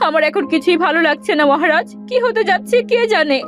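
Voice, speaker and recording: A woman voicing a gentle, worried queen and protective mother in a fairy-tale cartoon, earnest and emotional. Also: extremely high pitch, expressive, quick pace. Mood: upset. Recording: music behind the voice. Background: music.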